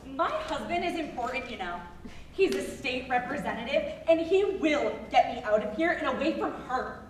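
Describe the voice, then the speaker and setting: A woman's voice: sits high, lively intonation, normal speed. A woman playing a snobbish, entitled politician's wife in a staged reading of a musical. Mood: entitled, threatening. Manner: snobbish, indignant, dismissive. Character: haughty, entitled state politician's wife under arrest. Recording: roomy, distant mic, faint noise.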